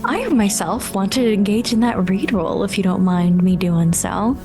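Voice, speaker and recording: A woman's voice: medium pitch, expressive, moderate pace. A woman playing a tabletop game, talking in a wordy, playfully formal way. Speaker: player. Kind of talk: casual game-table chat. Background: music.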